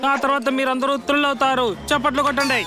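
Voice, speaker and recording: A man voicing an excitable, showy cartoon gentleman who is chirpy and eager to please. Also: very high voice, fast, over loud music. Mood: excited, showy. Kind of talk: cartoon dub acting.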